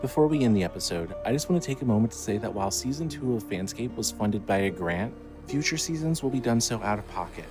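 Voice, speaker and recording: A man narrating a scripted audio drama as its storyteller, reading in a measured way. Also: on the low side, lively intonation. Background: music.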